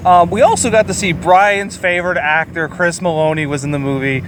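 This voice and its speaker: A man co-hosting a podcast, casually reviewing a movie in a crude, joking, profane way. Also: a little fast. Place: outdoors or in a car near a highway. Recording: outdoor traffic noise from nearby highway. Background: highway traffic.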